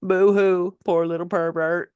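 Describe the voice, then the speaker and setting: A woman's voice: fairly low pitch, level tone, measured pace, a little mellow, clean tone, no room sound, muffled recording. A middle-aged woman reading her own personal essay in an emphatic, theatrical, motivational way. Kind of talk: expressive scripted narration of a personal essay.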